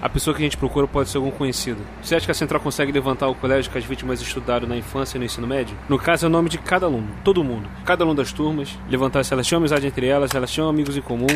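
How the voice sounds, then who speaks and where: A man's voice: medium pitch, racing, few pauses, background noise, lightly acted. A man playing a determined, methodical Brazilian police detective in an audio drama. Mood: focused, deductive.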